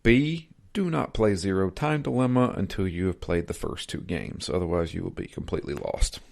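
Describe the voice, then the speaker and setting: A man's voice: deep voice, normal speed, vocal fry, darkish tone. A man in his thirties chatting casually as a podcast co-host reviewing games. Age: 30s. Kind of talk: casual chat.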